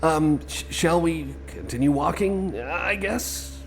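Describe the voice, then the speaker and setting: Male actor as nervous, friendly companion for improvised ASMR roleplay; slow, hesitant, stammering delivery with lively pitch movement. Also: vocal fry, slight background noise. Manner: hesitant, halting, stammering, repeating phrases. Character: nervous, friendly male cyborg companion lost in endless corridors. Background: music.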